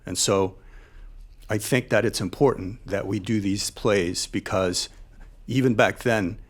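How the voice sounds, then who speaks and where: A man, a military veteran, giving earnest public remarks in measured, deliberate phrases with emphatic repetition. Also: on the low side. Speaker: military veteran and former troop leader. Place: live event space at a panel discussion.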